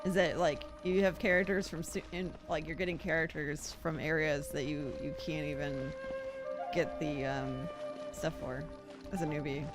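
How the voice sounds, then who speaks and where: A young adult woman streamer chatting casually over gameplay, informal and opinionated, thinking out loud. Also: low-pitched, steady tone, moderate pace, loud music behind the voice. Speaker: game streamer. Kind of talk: casual live gameplay chat.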